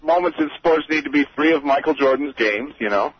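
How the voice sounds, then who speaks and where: A man's voice: a little high, brisk, husky. A man from Chicago calling in to a radio show, rambling with lots of stops and restarts and joking along. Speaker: caller. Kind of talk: phone-in chat. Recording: muffled radio broadcast of a phone call.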